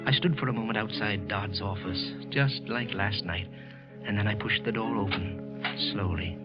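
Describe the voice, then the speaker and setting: A man's voice: on the low side, normal speed, natural voice. A man acting a nervous, resentful clerk who secretly killed, with a hesitant, evasive manner, in an old-time radio suspense play. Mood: bitter, gloating. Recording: muffled vintage radio recording, background music.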